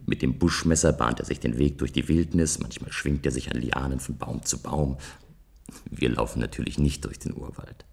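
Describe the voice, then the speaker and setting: A restless dreamer from a future society in a German radio play. The voice sits extremely low, with a gravelly, husky grain. He muses deliberately, longing for adventure. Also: steady tone, darkish tone, heavily acted. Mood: earnest, musing. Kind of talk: German science-fiction radio play acting, narrating and dialogue.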